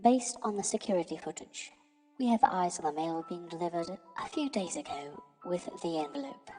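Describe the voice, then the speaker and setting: A woman's voice: on the low side, expressive, moderate pace, mellow, soft background music, lightly acted. A woman voicing a composed, helpful AI house assistant in an audio drama, polite and procedural. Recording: long reverberant hall echo.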